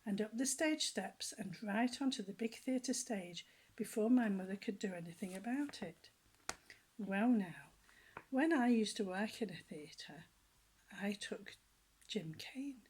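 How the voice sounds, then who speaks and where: An older woman with a soft, breathy voice reads a children's story aloud. She tells it gently and lively, sliding into hushed whispers for the exciting parts and asking her small listeners questions as she goes. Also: mid-range voice, a little slow, poor audio. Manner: talks directly to young listeners with questions. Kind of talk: children's storybook reading with personal asides.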